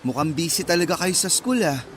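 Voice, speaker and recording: A man's voice: on the high side, lively intonation, a little fast, faint music behind, lightly acted. A man playing a friendly, generous nineteen-year-old eatery helper in a Filipino radio drama, speaking politely and casually. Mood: friendly, kind.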